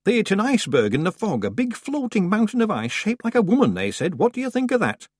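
A man doing a shrill village gossip woman. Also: huge pitch swings, fast talker, husky, dry recording, clean studio sound, exaggerated voice. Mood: gossipy. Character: chattering village woman, middle-aged, indignant gossip.

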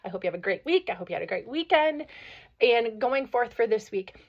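A woman in her thirties or forties hosting a motivational podcast, hoarse from a cold, talking energetically and often asking "right?". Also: on the high side, brisk. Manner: energetic, chatty, peppered with "right?" and "like". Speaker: podcast host. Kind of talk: motivational solo podcast talk. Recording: slightly dull lo-fi audio.

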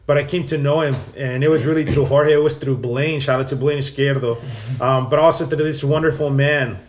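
A man's voice: medium pitch, steady tone, fairly quick. A young man from Texas sharing his faith story in casual, earnest talk, full of fillers and restarts. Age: young adult.

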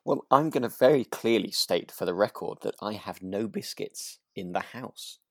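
A man's voice: lowish voice, lively intonation, normal speed, no echo, clean studio sound. A British man co-hosting a podcast in casual chat, rambling and good-humoured, with hesitant phrasing. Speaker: podcast host.

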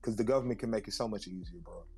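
A man talking in a casual podcast, animated and slangy, checking in again and again and laughing as he goes. His pitch is middling, and faint music sits underneath. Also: rapid-fire, gravelly. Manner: animated, slangy, keeps saying "you feel me", laughs between points. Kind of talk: casual chat.

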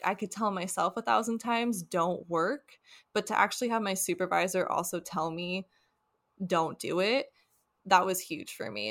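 A woman's voice: lowish voice, fairly bright tone. A woman in her twenties co-hosting a podcast, talking candidly and a little anxiously about work. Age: 20s. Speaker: podcast co-host. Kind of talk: casual chat.

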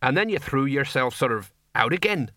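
A man voicing a big, self-important, slow-witted police sergeant in a storybook, with a ponderous, officious manner. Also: medium pitch, lively intonation, sharp tone, dry recording, clean studio sound. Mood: baffled, uncertain.

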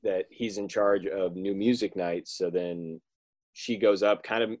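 A man's voice: low-pitched, fairly even intonation, a little slow, darkish tone. A young man hosting a casual podcast over a video call, rambling and joking as he recaps a movie. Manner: rambling, joking, laughs often. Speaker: podcast host.

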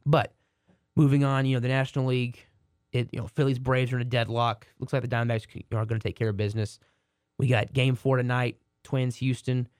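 This is a man co-hosting a casual sports podcast, his voice hoarse from a cold. He rambles and restarts his sentences in a mid-range voice. The recording is dry and close. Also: mid-range voice, flat delivery, a little fast, no echo. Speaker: podcast co-host.

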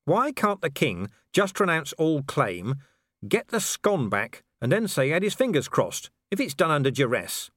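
A man's voice: fairly high pitch, very animated, normal speed, fairly bright tone, dry recording, studio quality, pitched above the performer's own voice. A man voicing a weary, sceptical policeman in an audiobook, blunt and wry as he questions. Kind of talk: audiobook character acting.

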